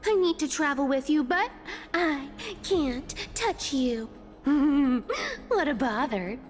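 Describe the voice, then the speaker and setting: A woman's voice: high voice, lively intonation, slow pace. A carefree, roguish young woman from a video game, always teasing. Her voice leaps up and down in a sing-song way and takes its time. Music plays behind her. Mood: teasing, breezy. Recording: background music.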